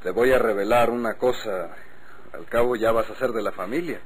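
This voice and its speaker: An actor playing a confident, chummy politician's son in a Mexican radio drama, slightly husky, with a Mexican accent. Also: male voice, lowish voice, moderate pace. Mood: friendly, advising. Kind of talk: golden-age Mexican radio drama acting.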